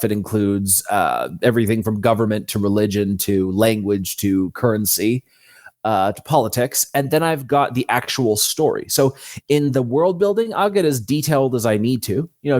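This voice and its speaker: A man's voice: medium pitch, darkish tone. A man speaking as an author guest in an interview, explaining his method in a casual, matter-of-fact way. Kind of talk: conversational explanation of own method.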